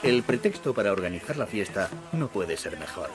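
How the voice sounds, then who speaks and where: A man reading scripted documentary narration in a measured, descriptive way. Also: fairly low pitch, big pitch swings. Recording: voice-over with loud music underneath. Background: music.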